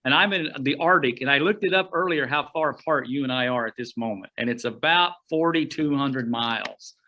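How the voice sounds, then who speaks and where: Bright-voiced folksy man from Oklahoma. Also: mid-range voice, a little fast. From Oklahoma, USA. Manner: rambling and folksy, frequent "uh" and restarts. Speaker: guest, outdoor writer. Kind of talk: casual storytelling interview.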